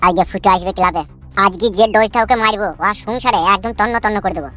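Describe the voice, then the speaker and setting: Cartoon comedy: crafty, bragging male gang boss, acted by a man, rapid delivery, faint music underneath. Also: sits high, sharp tone. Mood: gloating. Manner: boastful, conspiratorial. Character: scheming, boastful male village gang boss.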